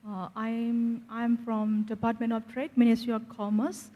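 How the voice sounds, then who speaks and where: A woman government official introducing herself formally and haltingly at a round table. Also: on the high side, completely flat delivery, warm tone. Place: large reverberant meeting room. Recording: muffled, long hall reverb.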